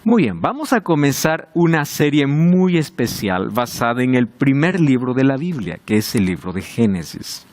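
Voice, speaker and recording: A man's voice: medium pitch, big pitch swings, normal speed, few pauses, darkish tone. A man hosting a televised Bible study, welcoming and speaking directly to the viewers. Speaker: host of a Bible study show.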